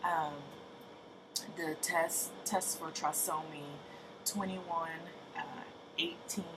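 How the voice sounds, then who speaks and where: Woman, late 30s, deep voice, slow and halting, tired, lots of ums, noisy home recording. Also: steady tone. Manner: soft-spoken, hesitant, many ums and restarts. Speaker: vlogger. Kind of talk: casual personal update to camera.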